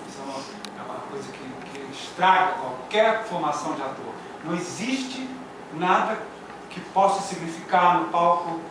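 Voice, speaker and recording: A man with a Brazilian Portuguese accent giving emphatic, didactic feedback as a theatre teacher, repeating his key phrases. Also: a little high. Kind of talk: spoken critique at a post-performance discussion. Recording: echoey room from far off, noisy.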